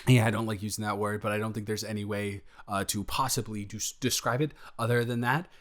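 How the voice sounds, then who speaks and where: A man's voice: on the low side, steady tone, a little fast, close to an everyday voice. A man playing an eager, smitten young boyfriend in an improvised intimate audio roleplay, stammering and correcting himself as he goes. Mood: awestruck, smitten. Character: eager, smitten, flustered young boyfriend, sweet and easily overwhelmed.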